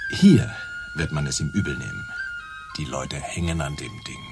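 An actor playing a laconic, matter-of-fact local police officer in a naturalistic radio crime play. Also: male voice, extremely low pitch, wildly swooping pitch, normal speed, over music, full character voice. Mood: wry, laconic.

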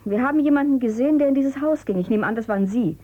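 A woman's voice: high voice, fast talker, warm tone, lo-fi sound. An actress playing a defiant, cool-headed girl of eighteen in a radio drama, with a pert, questioning manner. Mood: cool, probing. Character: defiant, cool-headed eighteen-year-old female space tourist. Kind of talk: German radio drama acting.

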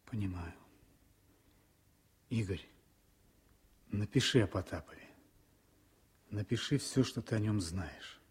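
An actor playing a loyal, calm soldier comrade who also narrates reflectively in a radio drama, earnest and gentle. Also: male voice, on the low side, slow pace, pauses often, breathy edge, exaggerated voice. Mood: consoling.

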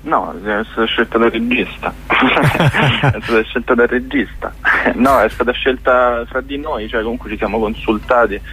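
A man's voice: medium pitch, normal speed, fairly bright tone, rough recording. A man with a Roman accent chatting casually as a guest director in a radio interview, sarcastic, slangy and sweary. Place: radio studio.

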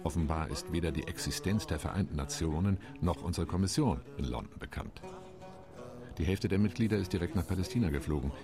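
An actor playing a UN commission delegate in a radio play, giving a measured, wry and indignant account. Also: male voice, low voice, music in the background. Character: male UN delegate, dignified, quietly indignant.

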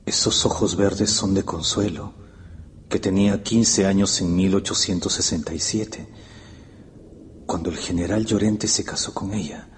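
A man's voice: deep voice, fairly even intonation, unhurried, a little hiss or hum. A man playing a lovestruck, anxious young historian in a radio drama, brooding, hesitant and intense. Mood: brooding, astonished. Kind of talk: Spanish-language radio drama acting. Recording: radio play with faint music bed.

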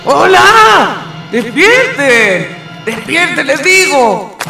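Real high creaky chilean village man, jumpy, swoops around, radio play w music behind. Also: very animated, extremely slow, full character voice, low-quality recording. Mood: agitated. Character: jumpy, shivering village man lost at night. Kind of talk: radio drama acting.

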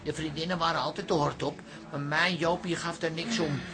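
A man's voice: a little high, fairly even intonation, fairly quick, sharp tone. An actor playing a doting, sentimental father in a radio comedy.

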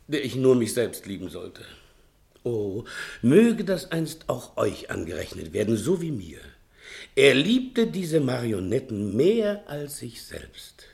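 An actor playing a fat, self-pitying servant who fancies himself a playwright, melodramatic and wheedling, in a 1960s German radio play. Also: male voice, medium pitch, huge pitch swings, slow, creaky, full character voice. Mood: anguished declaiming. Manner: melodramatic, wheedling, swinging from hushed to declaiming.

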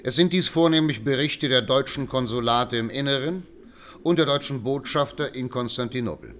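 An actor playing a scholarly German writer in his sixties who gives expert testimony in a measured, formal way in a radio drama. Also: mid-range voice, a bit bright, slight background noise, lightly acted. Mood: earnest. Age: older. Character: scholarly expert witness, sixty-two-year-old German writer.

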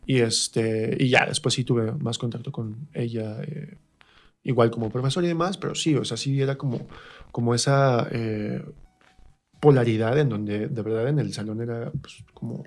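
A man from Mexico with a Mexican accent, telling anecdotes reflectively as an interview guest and often pausing to search for words. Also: mid-range voice. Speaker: interview guest, performer and drama teacher. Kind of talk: conversational anecdote in a radio interview.

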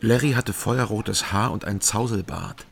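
An actor playing a wary East German night watchman and investigator in a German radio crime drama, with gravelly dialogue and first-person narration. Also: lowish voice, moderate pace, a little hiss or hum. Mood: sober narration.

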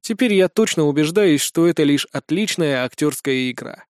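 High-pitched male audiobook narrator. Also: expressive, moderate pace, darkish tone, dry recording, studio quality. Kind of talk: expressive first-person fiction narration.

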